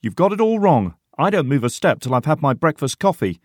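A man's voice: a little high, very animated, brisk, dry recording, clean studio sound, voice pushed up. A man voicing a carefree, stubborn soldier in a war novel, with a careless, argumentative manner. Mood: stubborn, indignant. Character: cocky, carefree, stubborn young male soldier.

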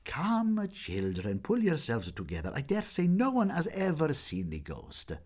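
A man's voice: a little high, huge pitch swings, unhurried, darkish tone, breathy edge, pitched above the performer's own voice, full character voice. A man playing a proud, quavering prima ballerina. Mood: nervous bravado. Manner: quavering bravado. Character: proud superstitious principal ballerina, woman.

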